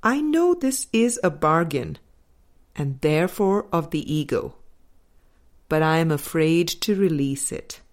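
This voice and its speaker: Woman, audiobook narrator, slow pace, slightly low voice, measured and deliberate phrasing. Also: lively intonation. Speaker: audiobook reader. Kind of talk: scripted narration, reading a spiritual book aloud.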